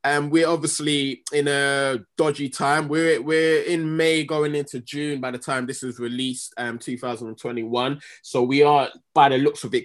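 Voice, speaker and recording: A man hosting a podcast, introducing and questioning a guest in a friendly, loose way full of fillers. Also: medium pitch. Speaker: podcast host. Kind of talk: podcast interviewing.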